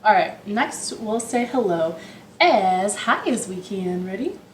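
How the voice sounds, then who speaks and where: Storytime host: woman, slow-paced, playful and rambling with young children, recorded in a reverberant living room. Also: medium pitch, animated, a little hiss or hum. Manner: playful, chatty, rambling asides.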